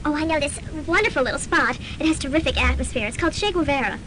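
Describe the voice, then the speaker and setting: A woman's voice: quick pace, sharp tone, rough recording. A woman playing a spoiled, imperious rich college girl, haughty and gushing, in a radio comedy. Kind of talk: student radio comedy drama acting. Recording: clear hiss and rumble on student radio recording.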